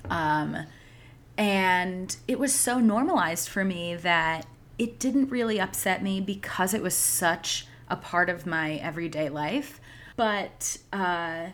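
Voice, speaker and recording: A woman's voice: fairly low pitch, slow pace. A young adult woman from outside Philadelphia, co-hosting a podcast and telling candid, emphatic stories in casual chat.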